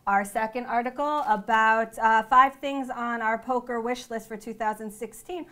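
A woman's voice: on the high side, steady tone, normal speed, sharp tone. A young woman co-hosting a casual discussion in an office, chatty and often restarting her sentences. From New York. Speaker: co-host. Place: open-plan office, indoors.

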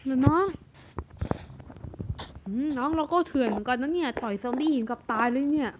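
A man voicing an excitable, bossy big-brother figure in a Minecraft cartoon, calling out warnings to his sibling. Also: very high-pitched, fairly quick, dull audio, pitched below the performer's own voice. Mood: excited. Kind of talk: cartoon narration. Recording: noisy recording.

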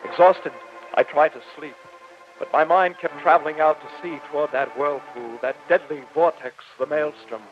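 An actor playing an earnest, anxious captive professor in an old radio drama, with a dramatic, urgent delivery. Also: male voice, on the high side, steady tone, normal speed, lightly acted. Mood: haunted, urgent. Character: earnest, anxious male professor, a captive narrating his escape. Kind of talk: 1940s radio drama acting. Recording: dull old radio recording, music behind.

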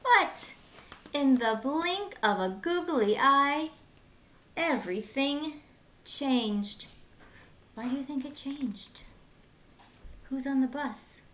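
A woman in her thirties reading a picture book aloud as a teacher, with simple, deliberate phrasing for young children and short asides. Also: high voice, lively intonation, fairly bright tone, slight background noise. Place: small room at home, indoors.

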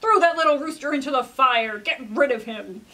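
A woman voicing a greedy, bad-tempered king who gives loud, imperious, emphatic commands in a children's storybook reading. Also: high-pitched, animated, moderate pace, full character voice. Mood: angry commanding.

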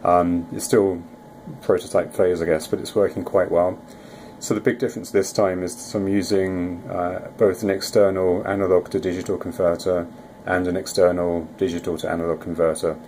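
Very deep, flat-toned man. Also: moderate pace, slight background noise. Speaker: electronics hobbyist presenter. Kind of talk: informal project demo narration.

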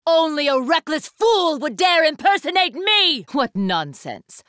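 A woman's voice: high voice, very animated, a little slow, a bit bright, no room sound, studio quality, exaggerated voice. An actress playing an imperious, ruthless young woman warrior, speaking in formal, haughty declamations for an anime fighting game. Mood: cold, haughty.

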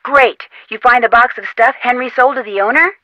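Plucky young female sleuth, adventure game dialogue, fairly high pitch, dry close sound, persuasive and encouraging. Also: a little fast, sharp tone, no room sound, dull audio. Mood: brisk, curious. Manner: encouraging, insistent, conversational.

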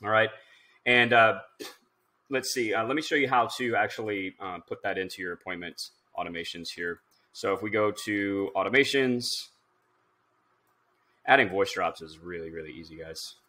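Man, thirties, lowish pitch, bright punchy tone, casual tutorial presenter, informal, thinking out loud. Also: normal speed. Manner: informal, instructional, thinking aloud as he goes. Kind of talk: casual screen-share software tutorial.

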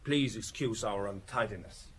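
An actor playing a formal, stiffly courteous foreign gentleman suspect in an old radio drama. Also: lowish voice, moderate pace, close to an everyday voice. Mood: stiff courtesy. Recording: noisy old radio broadcast.

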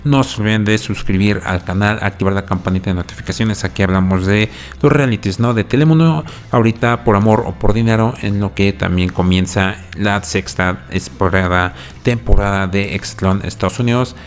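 A man's voice: on the low side, moderate pace, few pauses. A man hosting a video channel in a casual, conversational way, commenting off the cuff and often checking in with tag questions. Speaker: YouTube host recapping reality TV. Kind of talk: casual scripted-free commentary to subscribers.

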